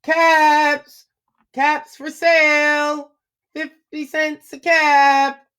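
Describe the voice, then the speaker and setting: A woman's voice: sits high, little pitch movement, very slow, a bit bright, voice pushed up, heavily acted. A woman voicing a male cap peddler who calls out his wares in a sing-song way. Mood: calling out. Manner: sing-song street calling.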